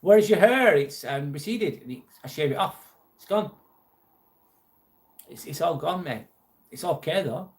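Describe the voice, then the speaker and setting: Chatty English man, a bit high. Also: expressive, unhurried, pauses often. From England. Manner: chatty and jokey, mildly irritated by a troll. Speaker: live stream host. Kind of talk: casual live chat reading out comments.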